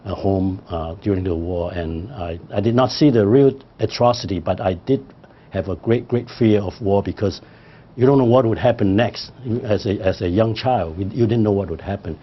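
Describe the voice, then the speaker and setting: A man's voice: lowish voice, normal speed, slightly rough, highs cut off. An older man from Hong Kong whose first language is Cantonese, speaking formally in an oral history interview with frequent hesitations. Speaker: interviewee, librarian.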